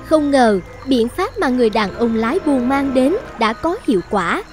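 Folktale narrator, woman, voice on the high side, scripted storytelling with music clearly underneath. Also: animated, normal speed.

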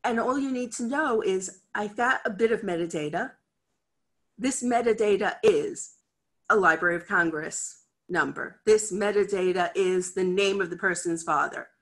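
A woman's voice: medium pitch, normal speed. A middle-aged American woman, head of a library cataloguing department, giving a chatty, candid conference talk over a video call from her office. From United States. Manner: chatty, candid, acts out quoted voices. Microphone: video call webcam.

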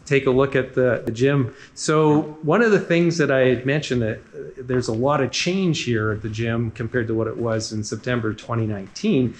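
A man's voice: medium pitch, moderate pace, few pauses. A man in his forties hosting a business show, summarizing points in an upbeat, talkative way and often adding "right?". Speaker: podcast host. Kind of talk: casual interview on a walking tour. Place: large indoor gym.

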